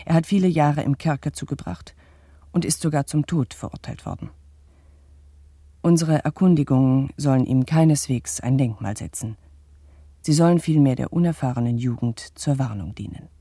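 An actor playing a pompous, indignant bourgeois narrator of the 1870s, addressing the public in formal, old-fashioned, declamatory phrases in a radio play. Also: male voice, mid-range voice, normal speed, dark tone, husky, dry recording. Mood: solemn.

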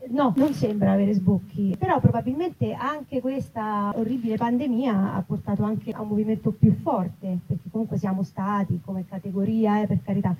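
A woman's voice: medium pitch, moderate pace, few pauses. Reflective woman, muffled noisy recording. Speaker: writer and actress, interviewee. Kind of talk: casual spoken interview before an audience. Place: live festival talk in an olive grove.

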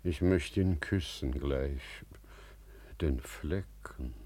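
An actor playing a wise, warm-hearted older merchant and father in a 1950s German radio drama, with earnest, declamatory, measured phrasing. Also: extremely low pitch, heavily acted. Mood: gently persuasive.

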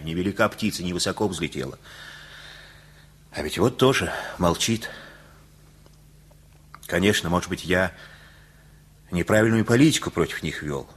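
A kindly older neighbour in a radio drama. His voice is very low and husky. He moralizes in homespun, colloquial phrases, like a favourite uncle. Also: fairly bright tone, full character voice. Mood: bitter regret. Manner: avuncular, unhurried, colloquial preaching. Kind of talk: radio play acting.

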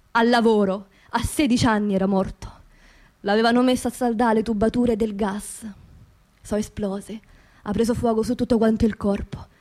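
Actress as high-voiced grieving Roman widow. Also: female voice, dark tone, natural voice. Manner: confiding, impassioned, repeating phrases for emphasis. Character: grieving, angry working-class widow of a construction worker. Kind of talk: theatrical radio monologue in colloquial dialect.

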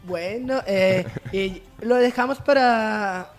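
Man putting on a high-voiced, hesitant celebrity guest. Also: faint music behind. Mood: hesitant, evasive. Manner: halting, hesitant.

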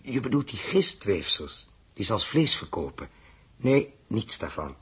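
Husky, hesitant, indulgent man. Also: on the low side, very animated, unhurried, fairly bright tone, heavily acted. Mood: musing, indulgent. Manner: hesitant, musing, thinking aloud. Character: troubled, indulgent grown man humouring a little girl. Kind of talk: 1960s Dutch radio drama acting.

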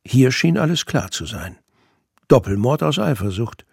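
A man's voice: mid-range voice, very animated, normal speed, vocal fry, darkish tone, breathy edge, no echo, clean studio sound. A man reading scripted fiction narration in German as the narrator of an audio drama.

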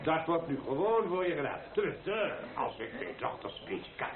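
A man's voice: fairly high pitch, big pitch swings, normal speed, crowd noise. A man playing a folksy, jovial older father of the bride giving a rambling, self-deprecating wedding speech in a radio play. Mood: sentimental. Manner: rambling, self-deprecating, hesitant public speaker. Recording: tinny band-limited old radio play, echoey crowded hall.

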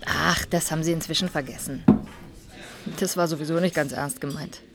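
A woman's voice: deep voice, fairly even intonation, moderate pace, a bit bright. A woman playing a restless, persuasive wife in a radio drama. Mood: coaxing. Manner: persuasive, insistent. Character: coaxing, restless wife out drinking at Christmas.

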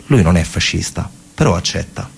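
Husky guy narrating a stage monologue, lowish gravelly voice, emphatic short phrases, colloquial. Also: lively intonation, moderate pace, slight background noise.

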